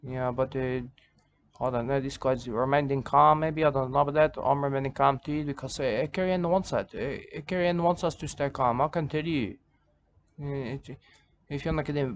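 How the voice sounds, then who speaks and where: A man rambles through comic commentary at an ordinary pitch, looping back on his own phrases in broken grammar. A faint hiss sits under his voice. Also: brisk. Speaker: commentator reacting to a video.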